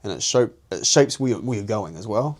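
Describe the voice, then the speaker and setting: A man's voice: on the low side, animated, brisk, a little mellow. A young New Zealand man co-hosting a podcast, chatting casually and animatedly, laughing often and talking in slang. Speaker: podcast co-host. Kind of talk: casual chat.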